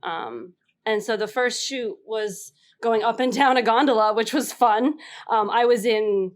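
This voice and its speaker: A woman telling a casual story as a contestant on a panel game, halting and giggly. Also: on the high side.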